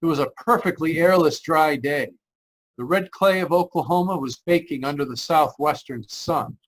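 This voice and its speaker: A man's voice: a little high, normal speed, lightly acted, rough recording. An actor playing a haunted, anxious driver narrating his road trip in old-time radio style, tense and earnest. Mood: brooding, uneasy. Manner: tense, earnest, dramatic storytelling. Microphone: video call. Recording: live video-call performance.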